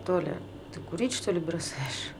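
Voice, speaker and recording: A woman's voice: lowish voice, expressive, normal speed, a little hiss or hum. An actress playing a blunt, restless, sarcastic ordinary mother in a naturalistic stage drama. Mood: curious, probing. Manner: blunt, sardonic, conversational.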